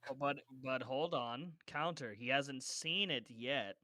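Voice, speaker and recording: Clear, bright-voiced man playing tabletop roleplay. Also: mid-range voice, a little slow, no room sound. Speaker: player in a tabletop roleplaying game. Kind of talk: casual gameplay chat, describing his character's actions.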